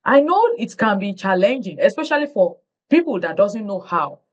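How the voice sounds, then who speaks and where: A woman's voice: mid-range voice, big pitch swings, moderate pace. A woman hosting an interview, effusive and stretching her words for emphasis over a video call. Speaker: interview host.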